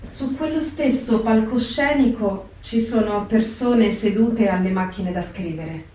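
A woman's voice: medium pitch, a little mellow, natural voice. An actress playing a reflective woman writing letters from a wartime camp, in a dramatic literary reading. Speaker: stage actress. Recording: very echoey, distant, music behind. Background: guitar music.

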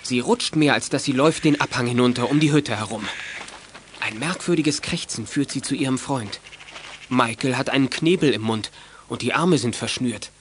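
A man's voice: mid-range voice, moderate pace, a little hiss or hum. A man narrating a youth detective audio drama as a scripted storyteller.

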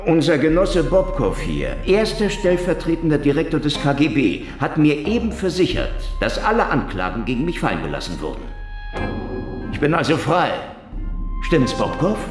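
An actor in a German radio drama playing a sardonic, taunting former Russian security man with a theatrical, mocking manner and teasing pauses. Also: on the high side, huge pitch swings, roomy sound, over music. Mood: triumphant, needling. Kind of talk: German audio drama acting.

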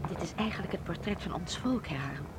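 An actress playing a gentle, sorrowful married German lady in a radio drama, speaking formally and thoughtfully, with some hesitation. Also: lowish voice, animated, brisk, heavy background noise, lightly acted. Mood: wistful.

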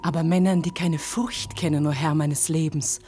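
Radio play heroine: actress as devoted, brave young woman, lowish earnest voice, poetic lines, music clearly behind her. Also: fairly even intonation, moderate pace, natural voice. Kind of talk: German literary radio play acting.

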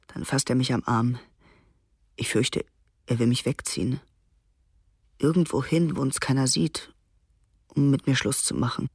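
A frightened teenage soldier in a radio documentary, telling his story in a quiet, measured way. The voice is gravelly and husky. The read is dry and close. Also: medium pitch, no room sound. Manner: quiet, measured first-person recounting. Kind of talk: German radio documentary reading.

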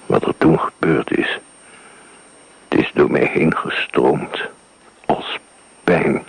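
An actor playing an older writer haunted by a wartime firestorm, speaking slowly in a hushed, breathy, gravelly voice in a radio play. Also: male voice, rumbling low, dry recording, full character voice, poor audio. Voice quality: gravelly, breathy, half-whispered. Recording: old radio broadcast recording.

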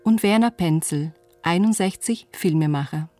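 A woman's voice: lowish voice, moderate pace. A woman announcer reading out the credits of a radio play in a scripted style. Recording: music playing behind the voice. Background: music.

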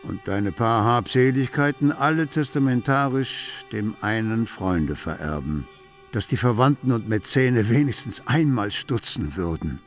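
An actor playing a wry, measured storyteller, narrating a literary radio drama with deliberate phrasing. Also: male voice, mid-range voice, lively intonation, natural voice. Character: wry, measured male storyteller of a city night tale. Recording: prominent music behind the voice.

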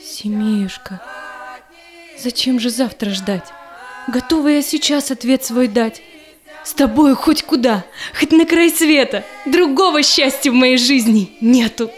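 A woman's voice: sits high, measured pace, soft background music. A woman playing a tender, devoted young merchant's daughter in love, declaiming verse in an audio drama.

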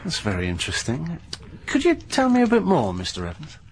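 An actor playing a cheerful, pushy newspaper reporter in a radio drama, chatty and breezy. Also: male voice, a little high, huge pitch swings, a little fast, slight background noise. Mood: probing. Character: brash cheerful young male newspaper reporter. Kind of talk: 1980s BBC radio drama acting.

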